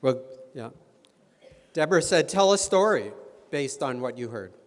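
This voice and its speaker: A man moderating an informal question session at a luncheon, relaxed and chatty with many fillers. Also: mid-range voice, unhurried. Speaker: event moderator. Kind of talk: informal Q and A hosting. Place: large meeting room. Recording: long reverb of a large hall.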